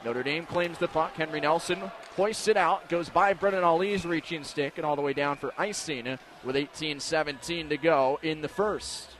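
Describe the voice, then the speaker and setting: A man doing live radio play-by-play commentary of a hockey game, describing the action in crisp detail. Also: a little high, normal speed, few pauses. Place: indoor ice hockey arena. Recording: noisy live arena broadcast. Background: loud arena noise.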